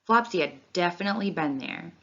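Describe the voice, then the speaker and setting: Woman, children's read-aloud, expressive storytelling, animated delivery, slightly dull lo-fi audio. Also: on the low side, fairly quick. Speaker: read-along reader. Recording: dull, lo-fi sound.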